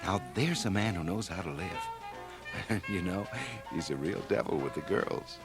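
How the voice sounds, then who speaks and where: A man's voice: low voice, a little slow, music in the background. An actor playing a glib, self-satisfied young man with ambition, breezy and pompous in radio drama dialogue. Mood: breezy, cocky. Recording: 1980 radio drama, home cassette transfer.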